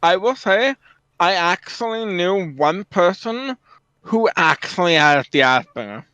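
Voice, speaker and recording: A man with a fairly high, smooth voice talks casually and matter-of-factly about games. The recording is dull and lo-fi, and dry with little room sound. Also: lively intonation, unhurried, pushed and bright, dry recording. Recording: dull, lo-fi voice track.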